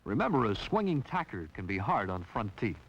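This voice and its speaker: A man's voice: lowish voice, very animated, brisk, a bit bright, a little hiss or hum. A man narrating a 1960s training film in a wry, teasing scripted style. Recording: dull lo-fi 1960s film soundtrack.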